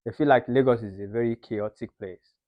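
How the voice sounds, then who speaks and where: A young man with a Nigerian accent speaks as an interview guest, explaining his views earnestly at a mid-range pitch. The sound is slightly muffled and lo-fi, with no echo in the room. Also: brisk. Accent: Nigerian English. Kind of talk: casual interview.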